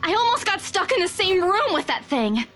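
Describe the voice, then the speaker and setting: Scared young woman, very high voice, bright and tense, pleading, game music behind. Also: sing-song, normal speed, exaggerated voice. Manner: pleading, defensive. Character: frightened young woman insisting she saw something.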